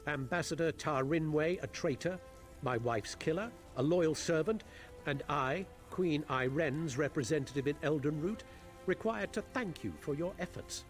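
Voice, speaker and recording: An actor playing a stern, proud high elf prince in a fantasy video game, formal and haughty with bitter disdain. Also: male voice, normal speed, lightly acted. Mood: bitter, resentful. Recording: game music behind the voice. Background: game music.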